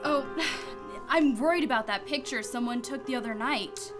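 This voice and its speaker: A woman's voice: fairly high pitch, moderate pace, music in the background, lightly acted. An actress in a radio play voicing a fretful young woman who works for crooks. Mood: uneasy. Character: nervous young female accomplice of fake medium.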